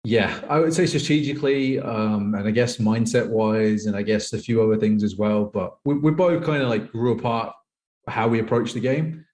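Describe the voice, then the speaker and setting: A man's voice: on the low side, normal speed, darkish tone. A thirty-year-old British man chatting casually as an interview guest, telling personal stories in a rambling way with lots of "like". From United Kingdom. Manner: rambling, peppered with "like". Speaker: podcast guest, professional poker player.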